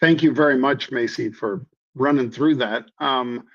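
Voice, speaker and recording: A man with a slightly hoarse voice, a trading guest explaining things in a folksy way with many ums and repeated phrases. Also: medium pitch, measured pace. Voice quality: hoarse from years of floor yelling. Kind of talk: conversational explanation. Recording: dull lo-fi audio.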